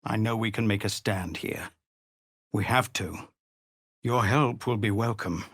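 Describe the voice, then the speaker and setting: A middle-aged man playing a weary, dutiful tiefling commander of refugees in a video game, speaking formally and earnestly with measured authority. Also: on the low side, a bit bright, husky, no echo, studio quality. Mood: grave, earnest. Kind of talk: dramatic video game voice acting.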